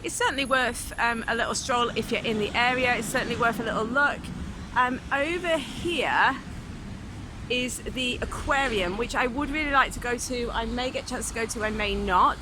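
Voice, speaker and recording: A woman's voice: a little high, moderate pace. A woman from the United Kingdom giving a casual walking tour of a city to a handheld camera, chatty and full of ums. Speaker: travel vlogger. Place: outdoors in a city, beach and streets. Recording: outdoor street noise with traffic.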